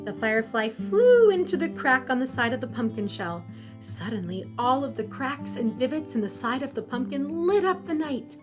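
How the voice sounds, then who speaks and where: A woman narrating a scripted children's story in an expressive, deliberate storytelling manner. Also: high voice, over music.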